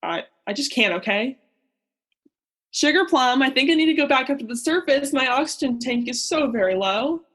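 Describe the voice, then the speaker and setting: A woman's voice: high-pitched, expressive, normal speed, fairly bright tone. A young woman playing a melodramatic, lonely, self-pitying male diver in a comic radio play, with a theatrical manner. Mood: exasperated, melodramatic. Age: young adult. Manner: theatrical, gushing then griping.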